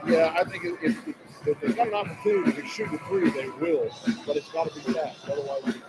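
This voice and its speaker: A man's voice: on the high side, expressive, a little fast, loud music behind the voice, poor audio. A man calling live basketball on the radio in clipped, urgent phrases as play-by-play announcer. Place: courtside in a large indoor arena. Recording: loud crowd noise behind live radio broadcast. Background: loud arena crowd.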